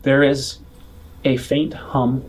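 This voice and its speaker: A man acting as game master, improvising scene descriptions in a halting way and rephrasing as he goes. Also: medium pitch, little pitch movement, poor audio. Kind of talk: improvised tabletop game narration.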